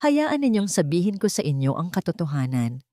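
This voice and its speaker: Wounded, determined Filipino female lead, acted by a woman narrator, soft yet steady, story drama. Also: on the low side, fairly quick, dry recording, studio quality. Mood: brave, vulnerable. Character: wounded, determined young woman, fragile but resolute.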